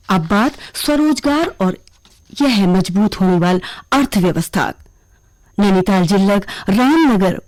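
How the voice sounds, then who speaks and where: A woman with a Kumaoni accent reads a scripted regional radio news bulletin in a formal, measured way. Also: mid-range voice, no echo, rough recording. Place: radio studio.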